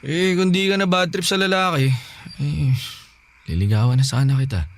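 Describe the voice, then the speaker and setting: An actor as an earnest lovestruck young Filipino man, high-voiced. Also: moderate pace, a little hiss or hum, close to an everyday voice. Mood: soft, reassuring. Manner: earnest, pleading. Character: lovestruck young man confessing to his best friend. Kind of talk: emotional radio drama acting.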